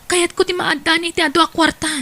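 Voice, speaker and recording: A woman's voice: very high voice, little pitch movement, quick pace, bright, forceful tone, heavily acted. A woman with an Ilocano Filipino accent playing a stubborn, ambitious young wife and mother in an audio drama. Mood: insistent, impatient.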